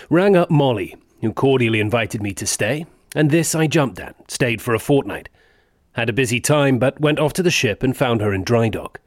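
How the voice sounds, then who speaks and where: A man's voice: mid-range voice, no echo, clean studio sound, lightly acted. An actor playing a proud, genial middle-aged British naval captain reading his wartime log in clipped, jovial phrases. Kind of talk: dramatised wartime diary reading.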